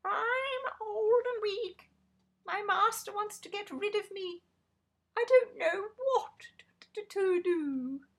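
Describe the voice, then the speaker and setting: A woman voicing an old, excitable male rooster in a storybook, high and quavering. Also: slow pace, heavily acted. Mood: woeful.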